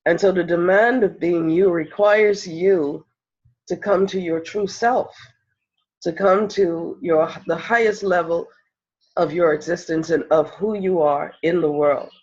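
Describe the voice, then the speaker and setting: A woman with a cold and a slightly nasal voice, giving a slow, emphatic spiritual pep talk that repeats phrases as it builds. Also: lowish voice, steady tone, darkish tone, slightly rough. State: has a cold, a little nasal. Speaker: spiritual life coach. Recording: dull lo-fi recording.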